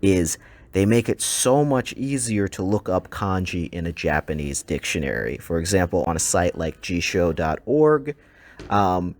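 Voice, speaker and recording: A man whose first language is English, teaching a recorded slide lesson in a relaxed way with frequent ums and uhs. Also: low-pitched.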